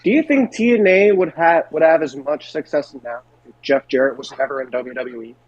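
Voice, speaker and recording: Higher-voiced man, dry lo-fi sound. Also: fairly quick, dry recording. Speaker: podcast panelist. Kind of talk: casual wrestling podcast chat.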